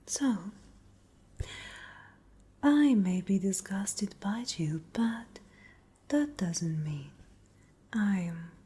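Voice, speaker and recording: Casting: actress as menacing castle vampiress for ASMR, extremely breathy whisper, very slow, taunting delivery. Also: female voice, lowish voice, warm tone, full character voice. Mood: disdainful, taunting. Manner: slow, deliberate, taunting, haughty. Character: cruel, menacing noble lady vampire of a castle.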